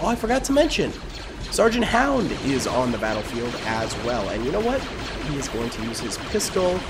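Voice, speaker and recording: A man's voice: mid-range voice, background noise. A young man, sick and with a partly lost voice, giving casual upbeat commentary over a strategy game as the channel host. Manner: upbeat, chatty, talks to viewers.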